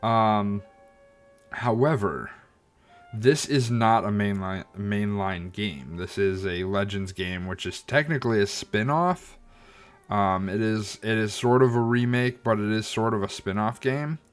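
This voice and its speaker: Slow, low-voiced man streaming a game. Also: clear, resonant tone, faint music behind. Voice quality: slightly stuffy, nasal. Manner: thoughtful, hesitant, many ums and restarts. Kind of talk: casual gameplay commentary.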